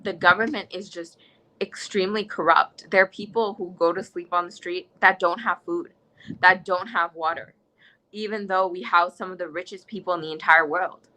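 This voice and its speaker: A woman's voice: lowish voice, steady tone, normal speed, sharp tone, rough recording. A teenage girl with an American accent, a guest in a casual interview, talking with passion and emphasis in long run-on stretches. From United States. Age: teens. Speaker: interview guest, youth nonprofit director.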